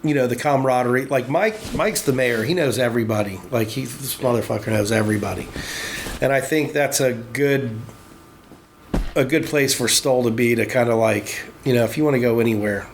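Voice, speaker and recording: A man in his forties shoots the breeze in a middle-pitched voice. He rambles, restarts sentences and swears freely. A faint noise runs underneath. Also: fairly quick. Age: 40s. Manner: rambling, profane, many restarts. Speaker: tattoo shop worker. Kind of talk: casual chat.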